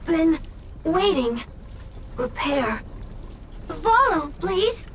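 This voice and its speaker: Slow, halting little robot. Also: female voice, very high-pitched, big pitch swings, a bit bright, slight background noise, full character voice. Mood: insistent. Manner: halting, mechanical, terse. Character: small, loyal, patient robot speaking in clipped fragments. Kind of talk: video game voice acting. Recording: music behind the voice.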